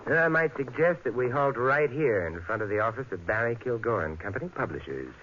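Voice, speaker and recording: An actor playing a suave, unflappable amateur sleuth in a 1950s radio drama, speaking with urbane, dry irony. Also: male voice, medium pitch, expressive, a little fast, fairly bright tone, lightly acted. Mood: wry amusement. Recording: muffled, noisy vintage radio recording.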